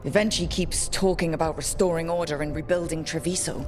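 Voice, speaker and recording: An actress playing a resolute, wry adventurer hero in a video game, speaking in a direct, conversational way. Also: female voice, low voice, steady tone, brisk, close to an everyday voice. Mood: serious. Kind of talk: video game cutscene acting. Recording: music behind the voice.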